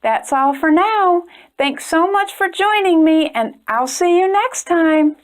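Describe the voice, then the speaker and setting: A woman hosting a storytime channel, reading a children's picture book aloud in a gentle, expressive way. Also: very high voice, slow. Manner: gentle, expressive reading, brighter and chattier when addressing viewers. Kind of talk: read-aloud storytelling for kids.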